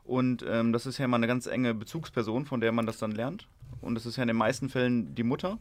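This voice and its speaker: A man's voice: medium pitch, fast talker. A man in a classroom discussion, thinking through an answer tentatively. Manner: thoughtful, tentative reasoning. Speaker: discussion participant.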